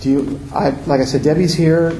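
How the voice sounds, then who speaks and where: A man runs a public meeting, giving plain procedural instructions. His voice is warm and mellow. The room echoes around him, with faint noise underneath. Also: a little high, fairly even intonation, fairly quick. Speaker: meeting official.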